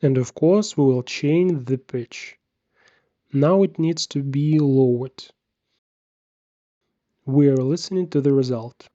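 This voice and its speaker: A man narrating a scripted software tutorial, giving measured step-by-step instructions. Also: mid-range voice, no echo, dull audio.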